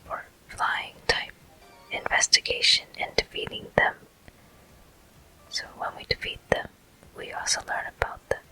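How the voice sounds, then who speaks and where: Very slow, breathy ASMR whisper. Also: soft background music, poor audio. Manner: hushed, unhurried, gently explanatory, pausing between short phrases. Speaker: gameplay host. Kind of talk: whispered ASMR game walkthrough commentary.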